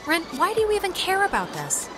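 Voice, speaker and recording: A woman's voice: very high voice, huge pitch swings, a little fast, heavily acted. Dry, sardonic teenage girl. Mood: annoyed. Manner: dry, casual, pointed. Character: skeptical, sardonic teenage girl, quick to push back. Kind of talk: naturalistic video game dialogue. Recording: game music under the voice.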